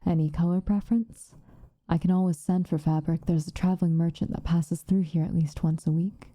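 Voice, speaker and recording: A woman playing a stern but protective witch in an ASMR roleplay, speaking softly and formally, often in a breathy whisper. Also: on the low side, fairly even intonation, no echo, lightly acted. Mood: calm, caring. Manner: measured, formal, gently commanding.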